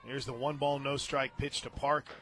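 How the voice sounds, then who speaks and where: A man doing live play-by-play softball commentary on the radio, calling each play in short clipped phrases. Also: mid-range voice, level tone, moderate pace. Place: outdoor ballpark radio booth. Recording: outdoor crowd noise behind the voice.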